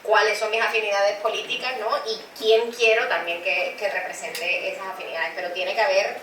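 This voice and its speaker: A woman's voice: mid-range voice, fairly even intonation, moderate pace, a bit bright. A woman who is a political scientist and city councillor makes her case earnestly, often restarting with "eh" and "o sea". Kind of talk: panel conversation. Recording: echoey room, distant mic, faint noise.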